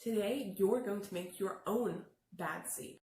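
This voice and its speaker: A woman's voice: medium pitch, a little slow, darkish tone. A woman teacher talking to young viewers in a children's lesson, explaining things simply, step by step. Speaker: teacher hosting children's read-alouds. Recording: echoey room, faint background noise.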